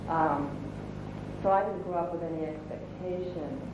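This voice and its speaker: A woman's voice: on the low side, moderate pace. A woman from Canada's West Coast, an artist thinking aloud in an interview, searching for words with many fillers and restarts. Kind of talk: reflective interview talk. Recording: echoey room, distant mic, noisy.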